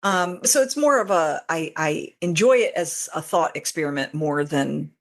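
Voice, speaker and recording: A woman's voice: sits low, expressive, normal speed. A woman chatting casually as a podcast guest and fan fiction author, telling stories in a rambling, talkative way. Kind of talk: casual interview chat.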